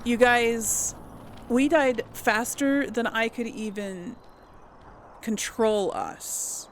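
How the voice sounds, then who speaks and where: A woman's voice: on the high side, fairly even intonation, very slow, a little hiss or hum. A woman giving casual video game commentary, thinking aloud in an exasperated way. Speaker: host playing a video game. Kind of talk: casual let's play commentary.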